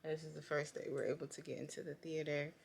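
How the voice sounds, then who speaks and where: A woman costume designer talking casually through a vlog, low-key and tired from long late-night work. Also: flat delivery, quick pace, vocal fry, a little hiss or hum. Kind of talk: casual vlog talk and voice-over. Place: theater at night.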